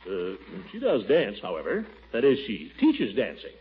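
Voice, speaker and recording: An actor playing a pompous, blustering middle-aged bachelor in 1940s radio acting, on a tinny old broadcast. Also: male voice, fairly low pitch, huge pitch swings. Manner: blustery, pompous, quick to take offense.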